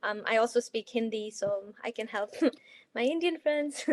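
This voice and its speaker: A woman who grew up in Afghanistan, a healer guest in an interview, talking earnestly with many pauses, fillers and restarts. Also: a little high, expressive. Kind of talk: casual interview conversation.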